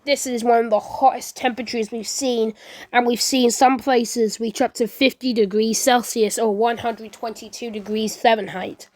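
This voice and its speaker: A woman's voice: on the high side, steady tone, normal speed, a little mellow, dry recording. A woman giving an improvised amateur disaster broadcast, rambling along, stumbling, repeating phrases and correcting herself. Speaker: creator and performer of a fan emergency-alert scenario.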